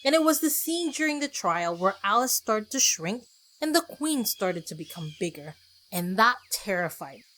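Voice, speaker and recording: A woman's voice: medium pitch, sing-song, moderate pace, a bit bright, poor audio. A woman podcast host narrating a casual scripted solo episode in a chatty, self-deprecating way with conversational asides.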